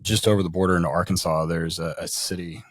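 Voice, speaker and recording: A man with a very low voice co-hosting a podcast, speaking in an easygoing, winding way. The sound is close and dry, with no echo. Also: fairly even intonation, fast. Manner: conversational, long winding questions. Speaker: podcast co-host. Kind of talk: casual podcast interview.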